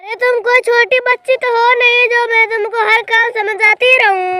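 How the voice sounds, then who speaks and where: A woman's voice: very high voice, little pitch movement, moderate pace, sharp tone, no room sound. A woman voicing a middle-aged, exasperated mother bird in a cartoon story, scolding and lecturing with affectionate words. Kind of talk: Hindi cartoon story dialogue.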